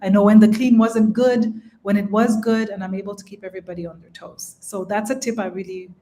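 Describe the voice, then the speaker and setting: A woman from Uganda explains her rental business to a panel in a calm, measured voice. She speaks at an ordinary pitch and keeps the tone businesslike and orderly. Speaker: presenting investor. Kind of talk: live panel presentation.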